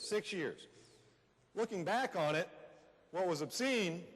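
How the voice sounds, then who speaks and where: A high-pitched male American voice in a reverberant hall, an actor playing an aggrieved, plainspoken man on stage. He tells his own story conversationally, landing short phrases with emphasis. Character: aggrieved plainspoken man recalling his wrongful jailing as a teenager. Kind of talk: readers theater monologue to an audience.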